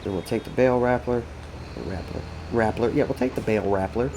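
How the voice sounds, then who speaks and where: A man's voice: medium pitch, fairly quick, darkish tone, a little hiss or hum, low-quality recording. A congested, sniffly man giving rambling, casual commentary while playing a farming game, thinking aloud to himself and his chat. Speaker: gaming streamer.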